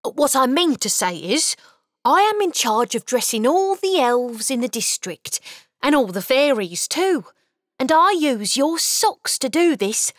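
A woman's voice: high voice, very animated, measured pace, no room sound, clean studio sound. A woman voicing a small, shy, cheerful male elf in a storybook, chatty and flustered.

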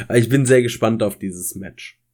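Langsam sprechender Mann, zögerlich. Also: male voice, mid-range voice, measured pace, a little mellow. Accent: German. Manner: hesitant, self-correcting, stumbles over names. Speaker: podcast co-host. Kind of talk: casual wrestling podcast chat.

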